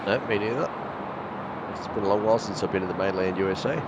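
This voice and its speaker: A man's voice: on the low side, fast, highs cut off. A man making casual, jokey small talk on a live stream. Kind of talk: casual chat. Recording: clear outdoor vehicle noise behind.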